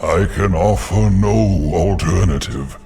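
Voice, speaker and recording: An ancient tree spirit from a fantasy video game, weary yet benevolent. The voice is low and crackles like old wood, moving extremely slowly and solemnly, with light music underneath. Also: male voice, warm tone. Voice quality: deep, creaky. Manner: slow, grave, deliberate. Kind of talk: video game character acting.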